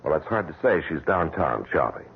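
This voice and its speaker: An actor playing a brooding, evasive widower who turns smooth suitor, with halting speech, in an old-time radio drama. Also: male voice, very deep voice, very animated, heavily acted. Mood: guarded. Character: brooding widower gentleman, evasive, smoothly courting his housekeeper. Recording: tinny old radio broadcast with music.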